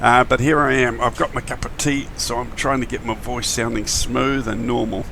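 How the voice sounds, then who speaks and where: A man, his voice gravelly and croaky in the morning, chatting casually and with many fillers as he streams a flight simulator. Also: medium pitch, level tone, moderate pace, background noise. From New Zealand. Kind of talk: casual live-stream chat.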